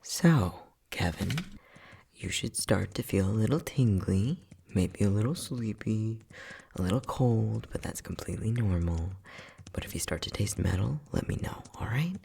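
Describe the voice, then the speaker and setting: A man acting as an unhinged, playful and casually cruel scientist in an ASMR roleplay, flippant and sardonic, with a husky voice that often drops near a whisper. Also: fairly low pitch, lively intonation, moderate pace, mellow, slight background noise. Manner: flippant, sardonic, mock-soothing, muttering.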